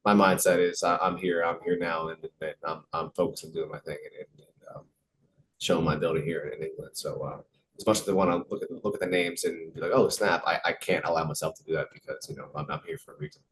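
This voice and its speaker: A man's voice: low voice, little pitch movement, fast, background noise. A young American man, a professional soccer player, answering interview questions casually, with many fillers and repeated words. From United States.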